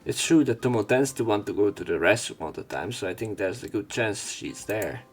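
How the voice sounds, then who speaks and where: A man with a fairly low voice narrates a visual novel let's play. He moves between reading the text aloud and casual commentary in an everyday voice, with faint music playing behind him. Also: lively intonation, a little fast. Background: game music.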